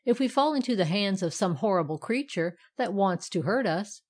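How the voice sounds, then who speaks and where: Woman as a lively, teasing fireside iron creature. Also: medium pitch, normal speed, lightly acted. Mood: confiding. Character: lively, teasing living andiron creature with paws, male.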